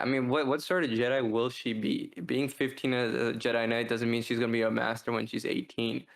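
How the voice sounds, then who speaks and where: Guy podcast cohost, kinda flat delivery, rambly casual discussion, throws out questions. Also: medium pitch. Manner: discursive, poses rhetorical questions. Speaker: podcast co-host reviewing books.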